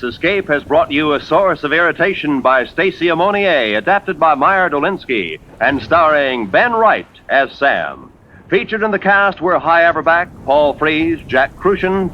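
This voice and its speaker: A male announcer with a fairly high voice reads in vintage radio style. The recording is slightly muffled with faint noise.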